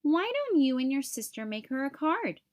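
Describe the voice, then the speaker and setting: A woman's voice: high-pitched, big pitch swings, normal speed, a little mellow. A woman voicing a kindly, practical turtle father in a children's picture book. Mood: reassuring.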